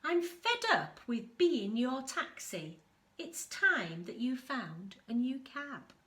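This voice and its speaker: A woman's voice: fairly high pitch, big pitch swings, slow, bright, forceful tone, full character voice. A woman reading a children's storybook as a grumpy, fed-up little crab with a bossy, complaining manner. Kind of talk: children's storybook read-aloud.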